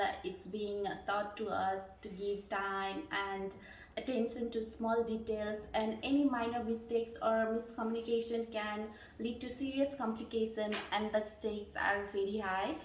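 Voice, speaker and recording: A woman in her thirties from Nepal, answering mock job interview questions earnestly, pausing with fillers and restarting phrases. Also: a little high, steady tone, a little hiss or hum. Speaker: job candidate. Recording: echoey room, distant mic.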